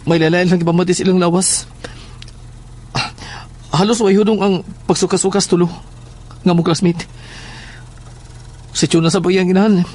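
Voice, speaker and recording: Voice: medium pitch, fairly even intonation, moderate pace, long pauses, a little mellow, lightly acted. A performer playing a nervous schoolboy son who stammers and questions hesitantly in an audio horror drama. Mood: nervous, anxious.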